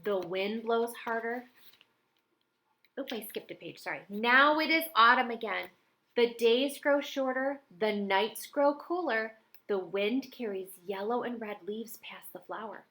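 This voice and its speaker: Higher-pitched woman reading to children. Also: unhurried. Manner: expressive, with dramatic emphasis. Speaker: storybook reader. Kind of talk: children's picture book read-aloud.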